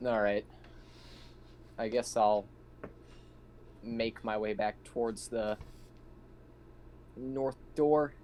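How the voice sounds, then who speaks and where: A man's voice: fairly low pitch, very slow, pauses often, a little mellow. A man playing a tabletop roleplaying game, chatting casually and thinking aloud hesitantly. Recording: noticeable background noise.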